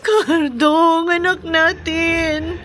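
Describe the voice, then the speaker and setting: A woman's voice: very high voice, little pitch movement, drawn-out words, a bit bright, full character voice. A woman playing the anguished, pleading mother of a missing child in a horror radio drama. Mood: distraught. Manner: tearful, pleading.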